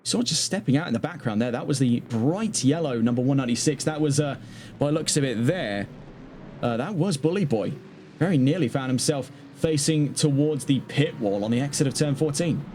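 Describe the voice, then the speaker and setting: A man calling a live online sim race, brisk and chatty, breaking off now and then to talk to the chat. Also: medium pitch, expressive, dark tone, a little hiss or hum. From not Dutch. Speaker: race commentator. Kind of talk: live sim-racing play-by-play commentary.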